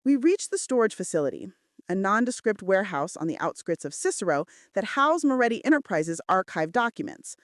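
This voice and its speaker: Woman narrating fiction with lively, swooping intonation. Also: medium pitch, brisk, no echo, studio quality. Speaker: audiobook-style narrator. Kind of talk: dramatic scripted first-person romance narration.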